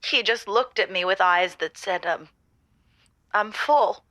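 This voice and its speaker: Fretful, halting, bright-voiced female caller. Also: medium pitch, dry recording, studio quality. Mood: wounded, indignant. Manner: halting, stammering, fretful, melodramatic. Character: timid, lovelorn, suspicious woman caller. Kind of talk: improvised comedy call-in radio show.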